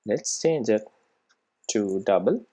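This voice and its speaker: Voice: mid-range voice, lively intonation, takes it slowly, mellow. A person giving an informal live coding tutorial, thinking aloud and often checking in with "right" and "okay". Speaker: programming tutorial presenter.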